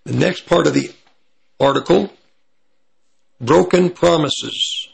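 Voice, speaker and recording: A man with a slightly raised pitch and a strongly gravelly, fry-laden voice, hoarse from hay fever. He gives prophecy commentary, reading passages from an article and breaking off to correct slips. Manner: pauses to correct himself mid-sentence.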